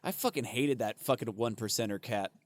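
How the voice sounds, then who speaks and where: A man's voice: medium pitch, no room sound. A man co-hosting a comedy podcast, rambling and swearing, breaking into laughs between riffs. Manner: profane, rambling, quick to laugh. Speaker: podcast co-host. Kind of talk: loose comedy podcast banter.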